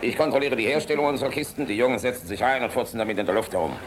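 A man's voice: medium pitch, expressive, rapid-fire, natural voice. Bluff, sardonic general, played by a man, party chatter. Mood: dry, sardonic. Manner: bluff, irreverent, commanding. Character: blunt, jovial, sardonic middle-aged male air force general. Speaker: actor. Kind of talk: German radio drama acting.

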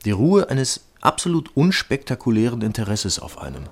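A man's voice: mid-range voice, sing-song, moderate pace. A man playing a brooding lover who recalls childhood and family in short poetic phrases, in a literary radio drama. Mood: reflective. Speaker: radio play actor.

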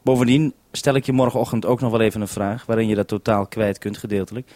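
A man's voice: on the low side, level tone, quick pace, a little mellow, no room sound. A man from the Netherlands hosting a two-way radio conversation, handing back with "over" as he goes. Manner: says "over" to hand back. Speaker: radio host.

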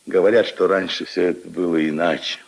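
A man's voice: low-pitched, fairly even intonation, a little fast, vocal fry, slightly rough, full character voice. An older man playing a kindly, opinionated old grandfather who muses wistfully and emphatically in a 1950s radio drama. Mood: wistful, earnest. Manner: ruminative, emphatic, intimate storytelling to his granddaughter. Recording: 1950s radio play recording.

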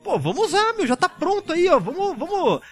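A man's voice: very high voice, very animated, a little fast, faint music behind. A man with a Brazilian accent chatting casually as a podcast panelist, talkative and informal, with some casual swearing. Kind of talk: casual chat.

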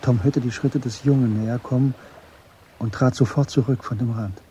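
A man narrating a German literary radio drama in a measured, understated way. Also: mid-range voice, steady tone, dark tone, a little hiss or hum, lightly acted.

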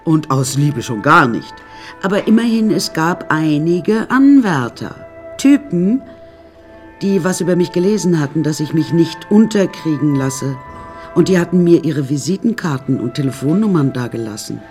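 A woman's voice: low-pitched, expressive, moderate pace, darkish tone, soft background music. An actress playing a flamboyant, unsinkable woman who narrates her life in a radio play in a wry, chatty, theatrical way. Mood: gossipy, amused.

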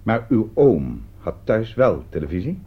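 An actor playing a stern, sarcastic police interrogator with a curt, probing delivery in a radio play. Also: male voice, lowish voice, very animated, a little slow, breathy edge, exaggerated voice. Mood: pointed, accusing. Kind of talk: Dutch radio drama dialogue. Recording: dull, band-limited old radio recording.

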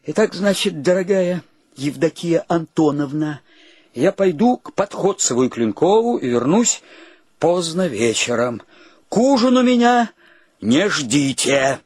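An actor playing a polite, sensitive husband in a radio play, courteous, halting and emotional, devoted to his friends. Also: a little high, very animated, darkish tone, husky, no echo, full character voice. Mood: weary, resigned. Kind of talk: theatrical radio drama acting.

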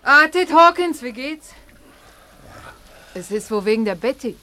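An actress playing an anxious, polite housewife in a 1950s German radio play. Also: female voice, sits high, lively intonation, a little slow, bright, forceful tone, full character voice. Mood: welcoming. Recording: faintly noisy 1950s radio recording.

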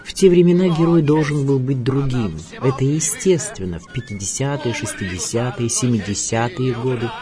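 A man narrating a scripted radio biography in a measured, expressive storytelling manner. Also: medium pitch. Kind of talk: scripted documentary narration with dramatic readings. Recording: music bed under voice. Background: music.

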